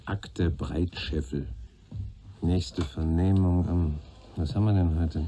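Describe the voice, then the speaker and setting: An actor playing a patient senior police detective in a German radio play, speaking in a dry, probing manner. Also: male voice, low voice, fairly even intonation, slow pace, dark tone, a little hiss or hum. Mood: businesslike.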